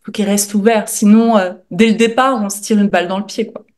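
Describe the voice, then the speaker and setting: A woman's voice: mid-range voice, steady tone, fairly quick, a little mellow. A woman from France speaking as a podcast guest, in an informal, reflective conversational way. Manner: informal, reflective, often checking with "tu vois". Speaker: podcast guest, journalist and author. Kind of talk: casual interview talk.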